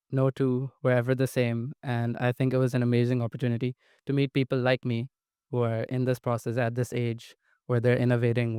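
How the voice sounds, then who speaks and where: Eager young man, very flat voice. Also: medium pitch, clean tone, no room sound, clean studio sound. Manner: eager, repeats "amazing". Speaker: student panelist. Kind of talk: answering panel questions.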